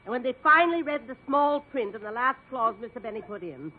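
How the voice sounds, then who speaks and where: Woman acting a brash, high-pitched domineering mother. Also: expressive, fast talker, close to an everyday voice. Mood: exasperated. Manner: blustery, combative, sarcastic. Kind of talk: 1950s radio comedy acting. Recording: muffled, noisy 1950s radio, faint audience.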